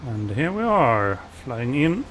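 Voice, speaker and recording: A calm, modest man with a Swedish accent, from Sweden, giving self-deprecating gameplay commentary as a let's play host. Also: mid-range voice, slow, slight background noise.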